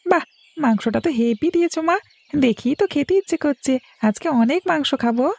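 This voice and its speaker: A woman playing an eager, whiny young village boy in a Bengali animated cartoon, pleading and impatient with the grown-ups. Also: high-pitched, brisk, gravelly.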